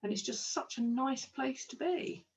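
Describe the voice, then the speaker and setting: A woman's voice: high voice, animated, unhurried, far from the mic. A woman, a kinesiologist and business owner, talking enthusiastically in a casual interview with plenty of ums. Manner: enthusiastic, chatty, with frequent ums.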